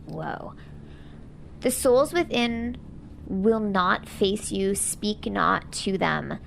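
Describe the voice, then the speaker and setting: Low, creaky-voiced woman at a game table. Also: a bit bright, a little hiss or hum. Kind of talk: casual game chat and reading aloud.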